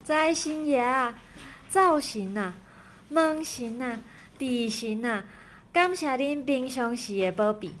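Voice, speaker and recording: A woman playing a pious worshipper in a folk-tale play, with a Taiwanese accent and measured, ritual delivery. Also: a little high, expressive. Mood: reverent. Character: pious everyday female worshipper reciting offerings to gods.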